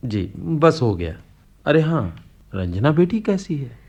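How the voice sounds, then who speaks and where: An actor playing a kindly, concerned middle-aged postman in a Hindi radio play, speaking gently and coaxingly. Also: fairly high pitch, big pitch swings, takes it slowly, breathy edge, muffled recording, full character voice. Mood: friendly.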